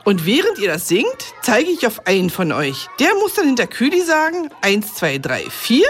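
A woman's voice: fairly high pitch, wildly swooping pitch, moderate pace, faint music behind. A woman playing a friendly kindergarten teacher in a kids' radio comedy, patiently explaining games.

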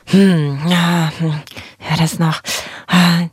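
A woman's voice: lowish voice, flat delivery, takes it slowly, background noise. A woman, co-hosting a children's radio talk show in a chatty, explanatory, conversational way. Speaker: radio talk show co-host and band member.

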